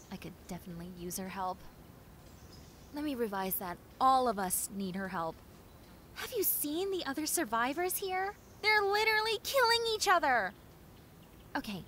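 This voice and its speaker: A woman playing a friendly, clumsy young female zombie in a roleplay audio drama, talking in a chatty, expressive, rambling way. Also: a little high, slight background noise. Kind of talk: roleplay audio drama, conversational monologue addressed to listener.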